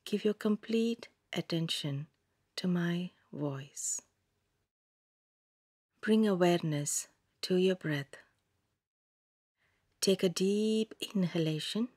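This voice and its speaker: A woman guiding a yoga nidra meditation in a calm, soothing manner, giving gentle instructions in a soft, breathy voice with long pauses. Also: lowish voice, no room sound, clean studio sound. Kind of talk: scripted guided meditation narration.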